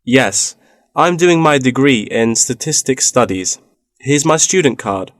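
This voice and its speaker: A man's voice: medium pitch, animated, measured pace, no room sound, studio quality, close to an everyday voice. A man playing a polite, inquiring university student looking for part-time work in a scripted language-test dialogue.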